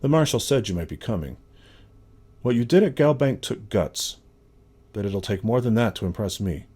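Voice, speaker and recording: A man's voice: on the low side, expressive, moderate pace, darkish tone, slightly rough. A man playing a stern, hard-to-impress lawman in a space video game, speaking in a terse, matter-of-fact way. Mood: stern, businesslike. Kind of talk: video game character dialogue.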